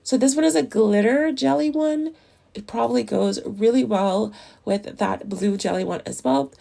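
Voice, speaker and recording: A woman's voice: a little high, normal speed, gravelly, mellow. A woman narrating a casual nail tutorial in a chatty, enthusiastic way, her voice a little off as it recovers from the flu. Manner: chatty, enthusiastic about products.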